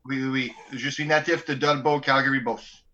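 A man with a Quebec French accent, a band's bagpiper, chatting in an interview with playful, deadpan bragging. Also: medium pitch, moderate pace, dull audio, slight background noise. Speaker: bagpiper in a folk band. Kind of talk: joking interview chat.

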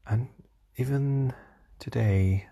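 A tired young man with a slightly hoarse voice, rambling hesitantly through a casual diary vlog with many fillers and restarts. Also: mid-range voice, takes it slowly, dark tone. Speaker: vlogger.

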